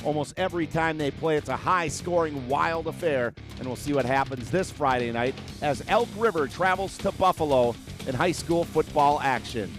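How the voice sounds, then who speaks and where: Slightly high American male sportscaster over music. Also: moderate pace. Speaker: radio sportscaster. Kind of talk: scripted sports broadcast promo. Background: guitar music bed.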